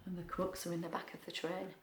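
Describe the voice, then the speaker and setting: A woman reading a picture book aloud to young children, expressive, with chatty asides and questions. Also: on the low side, fast, slight background noise. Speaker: children's story reader. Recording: roomy, echoing sound.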